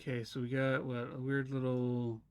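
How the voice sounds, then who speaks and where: A male streamer in a mid-pitched voice talks casually through a puzzle game. He thinks aloud as he picks up objects and chats with his viewers. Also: little pitch movement, moderate pace, darkish tone, smooth. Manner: chatty, thinks aloud while solving puzzles. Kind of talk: casual live gameplay commentary.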